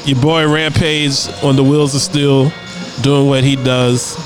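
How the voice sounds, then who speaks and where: A man's voice: medium pitch, little pitch movement, takes it slowly. A man hosting a casual internet radio show, talking in a laid-back way and often repeating words as he thinks aloud. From United States. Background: music playing.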